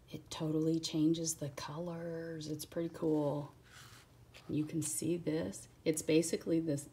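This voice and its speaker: A woman's voice: low voice, a little mellow, slight background noise. A woman teacher reading a rhyming children's book aloud, with casual explaining asides spoken directly to young listeners.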